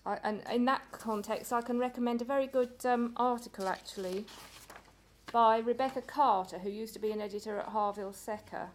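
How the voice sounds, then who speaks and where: A translator speaking on a panel in a measured way, with occasional stumbles and repeated words. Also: female voice, a little high, fairly even intonation. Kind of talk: panel talk.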